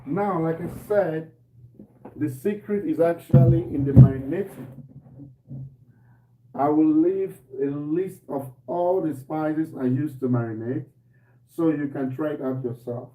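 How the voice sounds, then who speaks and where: Slightly hoarse man, mellow, off-mic kitchen. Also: a little high, a little slow, far from the mic. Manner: relaxed, repeats himself, greets viewers by name. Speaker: cooking show host. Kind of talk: live-stream cooking demo, chatting to viewers. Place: home kitchen. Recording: echoey room, voice off-mic, faint noise.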